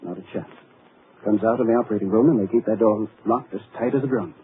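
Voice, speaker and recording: An actor playing a grave, measured doctor explaining a dire situation in a 1940s radio drama. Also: male voice, on the low side, close to an everyday voice. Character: grave middle-aged male doctor. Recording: tinny old radio broadcast with hiss.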